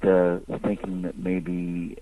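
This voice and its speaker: A man calling in as a private investor, asking halting, rambling, speculative questions. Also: low-pitched, completely flat delivery, dark tone, slight background noise. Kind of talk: unscripted caller question. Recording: distant phone line into conference call.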